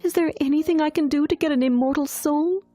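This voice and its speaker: Young mermaid princess, voiced by a female narrator: high, tremulous, earnest, timid yet determined, storybook style. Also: quick pace, mellow, no echo, faint music behind, lightly acted. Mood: yearning.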